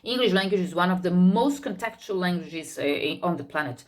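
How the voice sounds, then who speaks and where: A woman with a somewhat low voice explains points of English in a relaxed, unscripted lesson. She talks thoughtfully, often pausing to find the right word and circling back over a phrase before moving on. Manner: thoughtful, searching for words, repeats herself. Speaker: English teacher.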